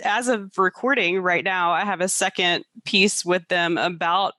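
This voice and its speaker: A woman's voice: on the low side, fairly even intonation, unhurried, sharp tone, dry recording. A woman co-hosting a podcast, talking casually and candidly about personal life with self-deprecating openness. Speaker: podcast co-host.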